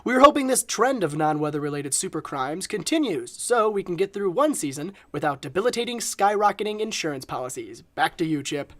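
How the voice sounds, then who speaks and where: A man playing a TV weather forecaster with a measured news delivery in a parody newscast. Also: high-pitched, very animated. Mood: deadpan cheer. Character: upbeat male TV weather forecaster.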